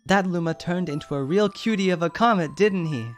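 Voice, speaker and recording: A man's voice: sits high, fairly quick, faint music behind, voice pushed up, natural voice. A man voicing a small star creature who talks in a teasing way.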